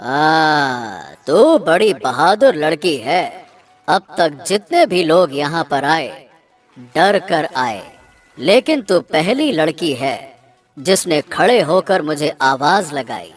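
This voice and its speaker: Woman voicing a slow, low, mysterious cartoon swan. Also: sing-song, soft background music, exaggerated voice. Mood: impressed, testing. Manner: slow, deliberate, dramatic. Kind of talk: animated children's story dialogue.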